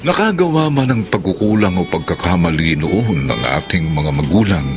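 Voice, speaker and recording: Narrator: low-voiced Filipino man, animated scripted moral delivery, very loud music bed. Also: normal speed.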